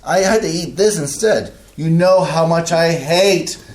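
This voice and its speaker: A man's voice: on the high side, unhurried, roomy sound, close to an everyday voice. A man playing a grumpy, set-in-his-ways husband who grumbles and complains in a staged play reading. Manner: grumbling and complaining, with folksy oaths.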